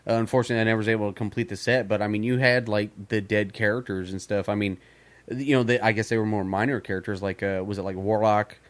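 A man's voice: fairly low pitch, fairly even intonation, fast. A man co-hosting a podcast, rambling and swearing in casual chat about comics, often restarting his sentences. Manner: rambling, profane, many restarts. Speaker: podcast co-host.

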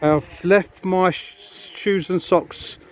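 Slow-talking man vlogging outdoors. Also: high-pitched, fairly even intonation, darkish tone. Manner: rambling, chatty, addresses viewers. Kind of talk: casual walking vlog. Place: outdoors on a beach and hotel paths. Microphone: handheld camera. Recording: faint outdoor noise.

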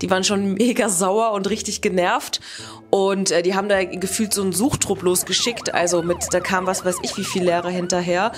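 A woman's voice: mid-range voice, fairly quick. A young adult woman casually presenting and telling stories to camera, rambling and correcting herself as she goes. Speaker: YouTube presenter. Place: indoor room. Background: background music.

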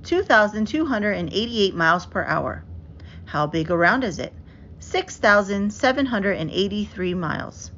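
A woman's voice: mid-range voice, expressive, moderate pace, fairly bright tone. Woman reading a picture book, dull noisy audio. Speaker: storybook reader. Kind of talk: scripted children's picture book read-aloud. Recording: dull lo-fi audio with clear background noise.

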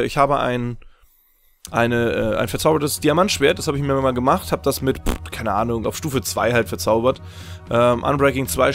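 Hoarse, gravelly-voiced young man gaming. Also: medium pitch. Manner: chatty, rambling, many fillers and restarts. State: slightly hoarse after a long stream. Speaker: Let's Play host. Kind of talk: casual gameplay commentary.

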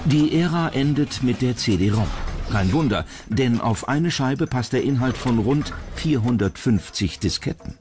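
A man's voice: medium pitch, expressive, measured pace. A man reading scripted narration as the narrator of a documentary. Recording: music under the voice. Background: background music.

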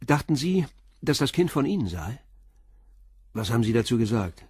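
A calm middle-aged police inspector in a radio drama. He speaks in a husky, mid-pitched voice, recorded dry and close, and questions people tersely and persistently. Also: normal speed, dry recording. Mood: questioning.

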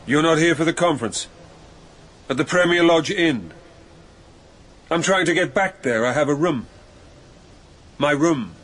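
A man's voice: fairly high pitch, animated, unhurried, pauses often, a bit bright. An actor playing a lonely, troubled dead man who narrates in a brooding, literary manner in a radio drama. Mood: unsettled.

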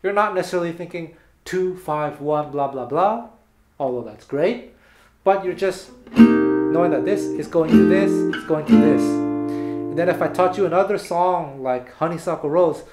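Male guitar teacher, 30s, animated explainer, slightly high voice, echoey small room, guitar under. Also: moderate pace. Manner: animated, explains with hand gestures between playing. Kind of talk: casual video lesson. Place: small bare room indoors, seated with guitar. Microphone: fixed camera at a few meters. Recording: roomy echo with guitar playing.

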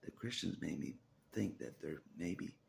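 Very deep gravelly man, half-whispering. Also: lively intonation, moderate pace, slight background noise. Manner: repeats phrases, hesitant restarts, half-whispered asides. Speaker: religious vlogger. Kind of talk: rambling personal vlog. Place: small indoor room. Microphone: phone at arm's length.

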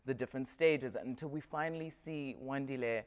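A young woman actress, a guest on a talk show, with a deep husky voice, speaking in a measured, thoughtful way on a lapel mic. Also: big-room sound. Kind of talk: casual studio interview. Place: tv studio set.

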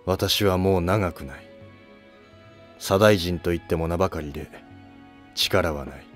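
Man acting grave, low-voiced aging court minister. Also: soft background music. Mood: grave, probing. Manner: grave, deliberate, questioning. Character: aging, probing court minister near the end of life. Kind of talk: historical drama dialogue.

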